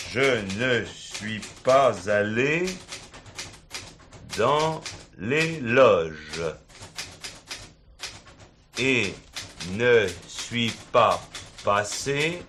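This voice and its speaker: An actor playing a sardonic police inspector in a stage play, needling and familiar. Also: male voice, medium pitch, fairly bright tone, full character voice. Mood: deliberate. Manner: familiar, needling, dictates slowly and deliberately. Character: wily, sardonic male police inspector grilling a suspect. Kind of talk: filmed stage play acting. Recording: hissy 1970s theatre recording.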